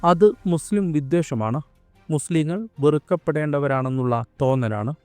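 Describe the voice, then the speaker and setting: A man delivers scripted video essay narration in a smooth, resonant and fairly high voice. He explains things in a measured, expository way. Soft music plays underneath him. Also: dry recording. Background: faint background music.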